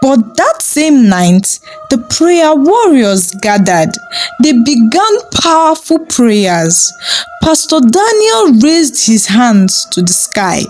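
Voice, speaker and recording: Lady storyteller, dramatic, swoopy voice, music playing. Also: rough recording. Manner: slow, expressive, rising pitch on key moments. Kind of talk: dramatic folktale narration. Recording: with background music.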